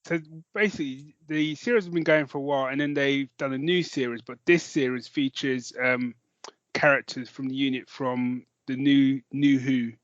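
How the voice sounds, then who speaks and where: A man's voice: mid-range voice, steady tone, moderate pace, no room sound, lo-fi sound. A man co-hosting a podcast in casual chat, jokey and self-mocking, with some swearing. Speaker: podcast co-host. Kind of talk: casual chat, recommending audio dramas.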